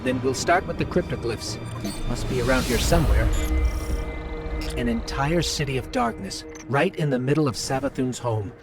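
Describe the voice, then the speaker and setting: An actor playing an earnest, loyal AI companion in a game, conversational and polite, with a questioning, plainspoken way of talking. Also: male voice, medium pitch, lively intonation, normal speed, loud music behind the voice, natural voice. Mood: puzzled, inquisitive. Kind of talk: scripted game dialogue.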